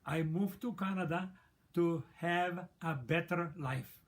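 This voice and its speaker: An older man who learned English later in life, speaking halting English in an interview. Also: on the high side. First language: not English. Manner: halting, non-native grammar. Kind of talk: interview recollection.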